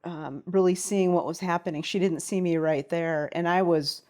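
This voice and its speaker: A woman's voice: fairly low pitch, steady tone, moderate pace, darkish tone. A woman guest on a talk show, telling a personal story in an interview.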